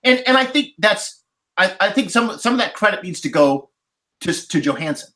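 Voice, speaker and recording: A man's voice: high-pitched, expressive, normal speed, sharp tone. A man talking casually as a podcast panelist about film, explaining his points emphatically.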